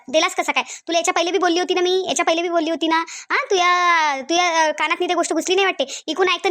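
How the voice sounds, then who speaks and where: A woman voicing a shrill scolding village mother-in-law. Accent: Varhadi Marathi. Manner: nagging, loud, rapid scolding. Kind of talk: cartoon voice acting.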